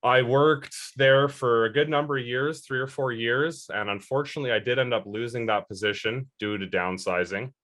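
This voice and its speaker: A man's voice: mid-range voice, normal speed, hardly any pauses, a bit bright. A man in his early thirties answering interview questions earnestly, rambling a little with fillers and restarts. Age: 30s. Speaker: interview guest.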